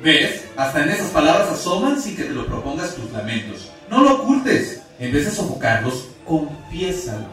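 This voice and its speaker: A man's voice: a little high, big pitch swings, normal speed, few pauses, fairly bright tone. A man acting as God's angelic messenger in a radio play, solemn and pleading, with declamatory, preaching speech. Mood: urgent, pleading. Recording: very echoey, distant, music underneath.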